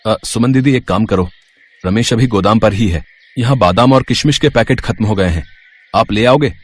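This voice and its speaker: A man's voice: fairly low pitch, normal speed, slight background noise, close to an everyday voice. A man voicing an easygoing, fair-minded young shop assistant who talks mildly in a story. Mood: friendly.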